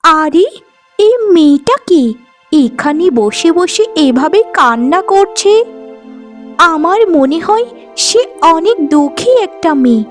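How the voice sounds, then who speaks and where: A woman plays a magical fairy in a cartoon fairy tale. The voice is very high, kind and reassuring. Music runs behind it. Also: expressive, takes it slowly. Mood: concerned. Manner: caring, reassuring.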